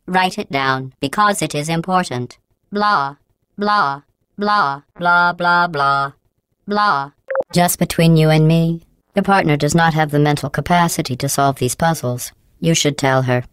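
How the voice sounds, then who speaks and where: Game role: a passive-aggressive female computer overseer, low and very clean, robotic processing, monotone announcer menace. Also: clean tone, no room sound. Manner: deadpan, mock-polite, monotone announcer delivery. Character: cold, passive-aggressive female AI running a test facility. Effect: synthetic robotic voice processing. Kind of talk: video game voice acting.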